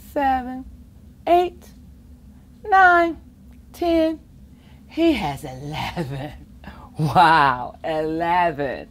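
A middle-aged woman reading a rhyming picture book aloud to children in an expressive, playful way, with asides of her own. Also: mid-range voice. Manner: expressive, chuckling asides, acts out the rhymes. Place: library children's reading corner, indoors.

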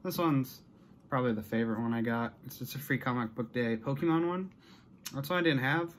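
A young American man talks casually to the camera in a medium-pitched voice. He rambles a little and lets thoughts trail off, like an unscripted haul video. It was recorded in a small room at arm's length, with clear noise behind him. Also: a little fast. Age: young adult. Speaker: vlogger showing a collection haul. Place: small bedroom, indoors.

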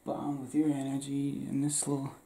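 Soft-whispering young man, gravelly. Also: mid-range voice, monotone, normal speed, a little hiss or hum, poor audio. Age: young adult.

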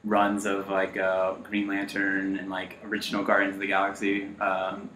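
Loud, upbeat man with bright tone. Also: low-pitched, completely flat delivery, moderate pace, a little hiss or hum. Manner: loud, upbeat, many fillers. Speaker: guest, art teacher. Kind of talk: casual chat. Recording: roomy echo.

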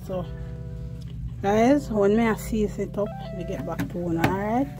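A woman's voice: mid-range voice, unhurried, music in the background. A Jamaican woman casually chatting to her viewers in patois while cooking outdoors, filming on a handheld phone. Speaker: cooking vlogger. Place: outdoor yard kitchen. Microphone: phone held in one hand while filming.

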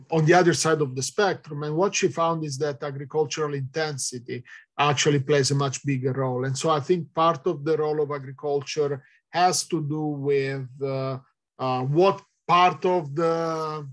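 A man's voice: fairly high pitch, steady tone, moderate pace. A middle-aged man with an Italian accent answering audience questions in an online talk, hesitant with many fillers, heard over a video call. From Italy. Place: indoors, virtual background.

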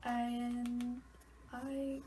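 A woman's voice: on the high side, completely flat delivery, very slow, dark tone, a little hiss or hum. A young woman in her twenties, congested, chatting casually and loosely to camera in a small bedroom, swearing freely. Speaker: vlogger.

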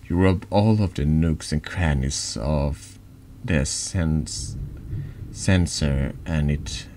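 A man with a deep voice reading horror narration slowly and haltingly in an ASMR style, over background noise. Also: creaky, darkish tone. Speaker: vtuber reading a text game aloud.

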